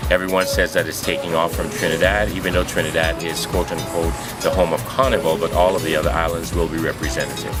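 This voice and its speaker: A man with a very deep voice speaks earnestly and rousingly about the carnival's reach. Loud music plays over the interview. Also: level tone, quick pace, few pauses.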